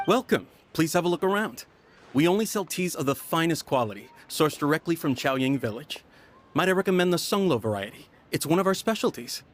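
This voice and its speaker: A man voicing a smooth-talking tea merchant with a persuasive salesman patter. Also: a little high, expressive, a little fast, a little hiss or hum, close to an everyday voice. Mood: welcoming. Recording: game audio with music.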